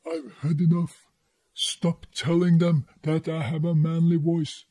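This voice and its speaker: A man's voice: a little high, level tone, mellow, dry recording. A man putting on a lazy, sullen young woman with a manly voice. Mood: annoyed. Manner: slow, sullen, mocking.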